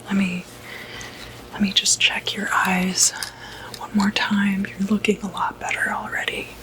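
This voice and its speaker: A woman playing a gentle, reassuring doctor in an ASMR medical roleplay, speaking in a breathy, soothing whisper. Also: medium pitch, level tone, natural voice, poor audio. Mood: gentle concern. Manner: soothing, unhurried, hesitant phrasing. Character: gentle, reassuring doctor who treats demonic possession.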